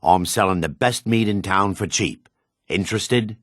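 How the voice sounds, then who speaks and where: An actor playing a shifty, greedy dark elf merchant and foreman in a fantasy video game, brusque and sly, with a raspy voice. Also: low-pitched, unhurried, dry recording, studio quality. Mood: sly salesmanship.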